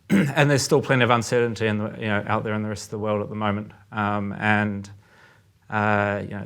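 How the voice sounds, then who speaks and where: A man with a New Zealand accent, a trader discussing currency markets as a podcast guest in a measured, thinking-aloud way. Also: fairly low pitch, fairly bright tone.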